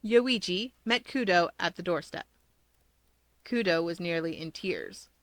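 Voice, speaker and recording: A woman's voice: lowish voice, lively intonation, unhurried. A woman reading scripted fiction as a story narrator.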